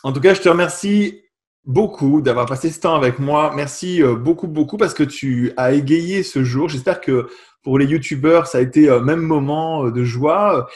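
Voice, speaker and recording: A man's voice: on the high side, moderate pace, a little mellow. A man hosting an interview, asking questions with some hesitation and many fillers. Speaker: interview host.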